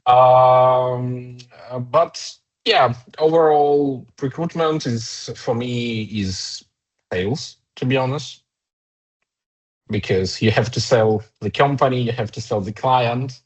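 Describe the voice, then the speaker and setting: A man with a Ukrainian accent, an HR director in his thirties, answering interview questions in a halting way with many fillers and restarts. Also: mid-range voice, low-quality recording. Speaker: HR director guest.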